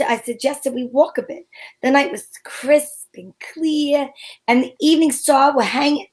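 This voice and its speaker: Woman doing a plucky girl detective, really high voice, wry wisecracks, old time radio show style. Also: natural voice. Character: plucky, curious female private detective, wry narrator.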